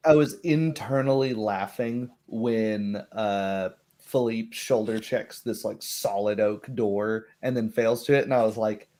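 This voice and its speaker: A man's voice: on the low side, measured pace, few pauses, mellow. A man in a casual chat, talking as the game master of a tabletop group.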